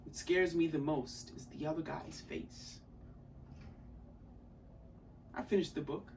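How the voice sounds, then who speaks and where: A young man acting an intense, articulate character in a theatrical monologue, with emphatic delivery and deliberate pauses. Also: fairly high pitch, fairly even intonation, a little mellow, lightly acted. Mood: intense, brooding. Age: young adult. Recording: distant mic, audible room noise.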